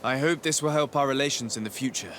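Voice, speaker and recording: An actor playing a composed young noble diplomat in a fantasy game, speaking courteously and formally. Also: male voice, medium pitch, quick pace, a bit bright, slight background noise, lightly acted. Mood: diplomatic. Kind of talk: fantasy video game acting.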